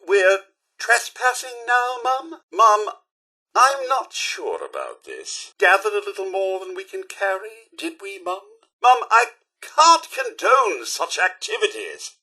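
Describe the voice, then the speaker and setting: Loyal robot butler, British accent, prim, dryly sarcastic, lively swooping intonation, raspy edge, video game companion. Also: male voice, high-pitched, slow, heavily acted. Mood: prim disapproval. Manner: formal, polite, theatrical, dryly sarcastic. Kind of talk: scripted video game character lines.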